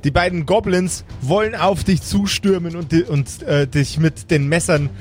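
A fairly high-voiced man runs a tabletop role-playing game. He improvises narration as game master, over faint music. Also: normal speed, a little mellow.

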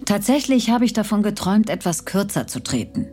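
An actress playing a murdered woman in her sixties who tells her own life story in a measured, wistful way. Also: mid-range voice, very animated, dark tone, lightly acted. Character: 61-year-old murdered woman narrating her life from beyond death. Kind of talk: scripted dramatized first-person narration. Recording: clear music bed behind the voice.

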